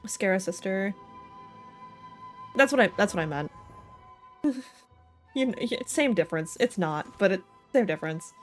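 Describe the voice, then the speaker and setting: A woman streams a game and chats casually as she goes. She thinks out loud in a playful, meandering way full of silly slang, at a middling pitch, with game music playing behind her. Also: expressive, a little slow, pauses often. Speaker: livestreamer. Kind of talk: casual gaming stream chat.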